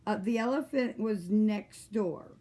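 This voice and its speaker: A woman hosts an informal online chat in a middle-pitched voice. She talks in a homespun, conversational way, asking questions and reacting with folksy exclamations. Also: measured pace, mellow, slight background noise. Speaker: host of a livestream chat. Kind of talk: casual interview chat.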